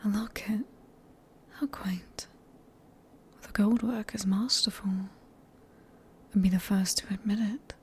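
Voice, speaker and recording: A woman with a British accent playing a sadistic, possessive vampire in a whispered ASMR roleplay, speaking in a breathy, teasing, genteel and condescending manner. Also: mid-range voice, fairly even intonation, takes it slowly, long pauses, slight background noise. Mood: mocking curiosity. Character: sadistic, possessive female vampire, silkily polite and manipulative.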